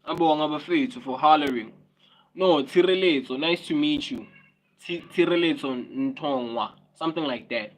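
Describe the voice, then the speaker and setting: A South African man hosts a casual livestream, speaking in a somewhat high voice. He muses aloud and circles back over his own phrases, slipping Zulu words into his English. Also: normal speed, poor audio. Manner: musing, repeats phrases, mixes in Zulu words. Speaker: livestream host.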